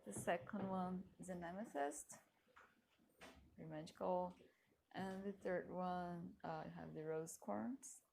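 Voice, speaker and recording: Young woman with Portuguese as her first language, gravelly-edged voice at middle pitch, gentle card-reader host. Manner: gentle and soft-spoken, with frequent light laughs. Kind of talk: casual pick-a-card reading to camera.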